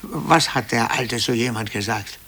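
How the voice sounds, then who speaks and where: A man playing a timid, blustering office clerk in a 1950s German radio drama, stammering and agitated, with a gravelly, husky voice. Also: mid-range voice, unhurried, sharp tone. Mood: suspicious, agitated. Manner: agitated, stammering, swinging between bluster and cowering. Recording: 1950s radio play from cassette.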